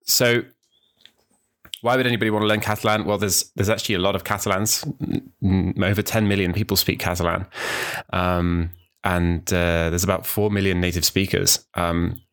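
A young man from New Zealand talks through his podcast introduction, meandering and thinking aloud with plenty of ums and restarts. His voice sits very low in pitch. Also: normal speed, clean tone. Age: young adult. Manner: relaxed and rambling, many ums and restarts. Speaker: podcast host, language student. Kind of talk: casual solo podcast monologue.

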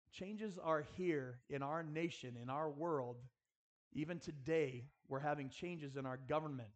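A man preaching earnestly in a school chapel style, exhorting his listeners and addressing them directly. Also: on the high side. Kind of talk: sermon with rhetorical questions and prayer.

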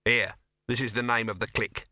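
A man voicing a pushy, excitable, slick film promoter in an audiobook, gushing, bossy and dismissive. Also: mid-range voice, a little fast, no echo, studio quality. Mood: warning.

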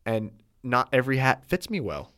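A man's voice: mid-range voice, big pitch swings, normal speed, a bit bright. A young man co-hosting a casual sports podcast, wry and teasing in how he talks. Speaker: podcast co-host. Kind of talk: casual chat about college football.

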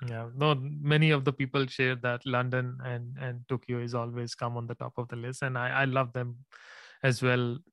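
A man's voice: mid-range voice, little pitch movement, moderate pace, a bit bright, no echo. A man hosting an interview in a friendly way, often repeating words and using non-native phrasing. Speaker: interview host. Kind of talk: interview conversation.

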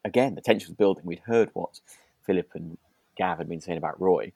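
A man co-hosting a podcast, chatting casually about a radio drama and telling stories in an animated way. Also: low-pitched, brisk, gravelly, no echo. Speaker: podcast co-host.

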